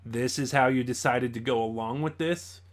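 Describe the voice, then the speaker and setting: Man giving a halting, conversational review. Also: medium pitch. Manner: halting, conversational, thinking aloud. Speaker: YouTube reviewer, host. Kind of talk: casual audio drama review commentary.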